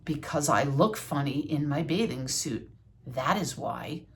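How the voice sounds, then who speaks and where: Grumpy toad, fussy, self-conscious, unhurried, gravelly edge, storybook character, acted by an older woman. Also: low voice, lively intonation, pitched below the performer's own voice. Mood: self-conscious, firm.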